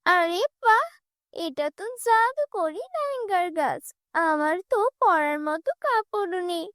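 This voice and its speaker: An excitable young village girl, acted by a woman in a fairy-tale cartoon. Her very high voice exclaims with wide-eyed wonder, recorded dry and close. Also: no echo.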